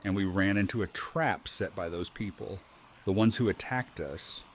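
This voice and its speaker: Male actor as guilt-stricken honest survivor, lowish pitch, somber, confessional, audio drama. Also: moderate pace, slight background noise. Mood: guilty, somber.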